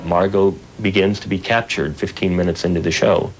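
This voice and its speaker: A man's voice: sits low, steady tone, brisk, soft background music. A man talking casually and earnestly as an enthusiastic collector being interviewed.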